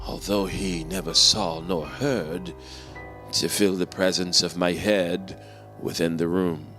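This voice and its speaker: A man giving a theatrical reading of a gothic horror story as a nervous, obsessive madman, deliberate and emphatic, with dramatic pauses and swells. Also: deep voice, fairly even intonation. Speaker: dramatic reader. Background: background music.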